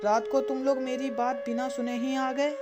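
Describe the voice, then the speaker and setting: A woman's voice: high voice, flat delivery, normal speed, over music, close to an everyday voice. A woman voicing a brave, curious village boy in a children's cartoon, earnest and kind. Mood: determined. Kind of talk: children's cartoon story acting.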